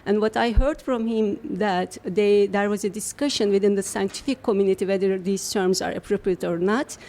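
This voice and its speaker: A woman researcher answering interview questions in a measured, explanatory way, often pausing on fillers. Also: medium pitch, steady tone, warm tone. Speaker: university researcher, guest.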